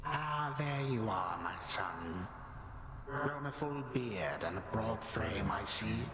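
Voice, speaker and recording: A man's voice: lowish voice, lively intonation, takes it slowly. An actor playing an ancient, resentful titan father in a video game, mockingly genial and theatrical. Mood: mock pleasantry. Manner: mocking, theatrical, falsely pleasant. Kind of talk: video game villain acting. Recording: echoey with loud background noise.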